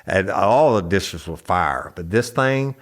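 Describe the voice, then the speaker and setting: A man's voice: sits low, expressive, slow. A man hosting a podcast reads a sponsor ad in a gushing, hyped-up way. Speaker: podcast host reading an ad. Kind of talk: enthusiastic host-read ad.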